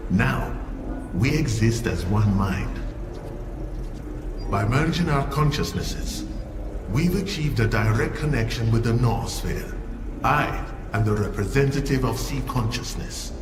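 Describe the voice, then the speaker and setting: An actor playing a calm collective mind of merged scientists in a video game, speaking formally and with lofty assurance. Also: male voice, medium pitch, a little slow, noisy background, lightly acted. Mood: lofty, assured. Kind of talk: video game cutscene monologue. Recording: echoey hall, distant, music behind.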